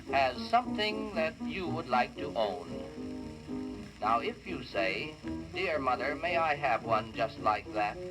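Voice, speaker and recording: Slow avuncular man singing over loud music. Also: medium pitch, low-quality recording. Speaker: children's radio entertainer. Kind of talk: sung etiquette lesson for children, old radio. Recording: old radio recording, loud music. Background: musical accompaniment.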